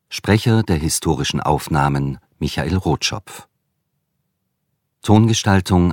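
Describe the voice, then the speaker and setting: A man with an extremely deep voice announces radio credits. He reads formally and slowly, with a dry, close sound. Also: darkish tone, no echo. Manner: formal, measured.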